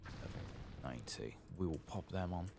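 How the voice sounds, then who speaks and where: A man giving soft-spoken ASMR commentary as he plays a game, in a hushed murmur. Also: low voice, animated, normal speed, vocal fry, heavy background noise. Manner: hushed, murmured, occasionally whispering. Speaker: gaming channel host.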